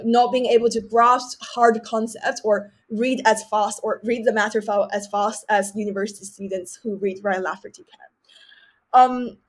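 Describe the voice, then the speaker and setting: Young woman from china, english is her second language, kinda high voice, earnest explainer on a podcast. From mainland China. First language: Chinese. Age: young adult. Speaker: podcast guest, debater. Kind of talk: reflective interview talk with advice.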